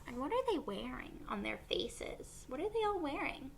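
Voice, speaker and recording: A woman reading a picture book aloud to young children as a teacher would, expressively, with pauses and questions for her listeners. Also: high-pitched, big pitch swings, gravelly, a little hiss or hum.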